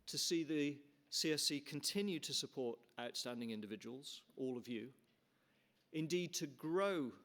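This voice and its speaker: A man's voice: mid-range voice, a bit bright. A middle-aged man from Canada, the chair, giving an earnest formal welcome speech in a conference hall with frequent restarts and fillers.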